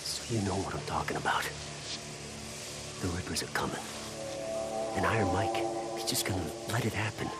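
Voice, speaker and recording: A man's voice: low-pitched, normal speed, long pauses, over loud music, natural voice. An actor playing an edgy, argumentative, distrustful male survivor in a video game cutscene. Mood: bitter, complaining. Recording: clear noise and ambience behind.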